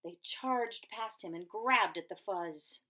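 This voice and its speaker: A woman's voice: mid-range voice, takes it slowly, bright, forceful tone. A woman teacher reading a children's storybook aloud with dramatic, emphatic expression.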